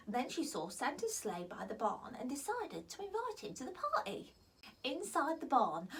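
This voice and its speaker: Animated woman reading a children's story. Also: high-pitched, distant mic. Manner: expressive, with whispers and laughs.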